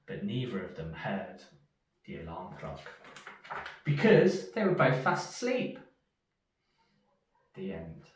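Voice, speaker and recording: A man reading a children's story aloud in an expressive storyteller's manner. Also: low voice, slow pace, long pauses, a little hiss or hum. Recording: echoey room, distant from mic.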